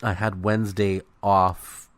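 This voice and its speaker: A man's voice: deep voice, little pitch movement, measured pace, a bit bright, dry recording. Nasal, congested young man. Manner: chatty, trails off searching for words. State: congested with a cold. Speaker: podcast co-host. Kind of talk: casual chat.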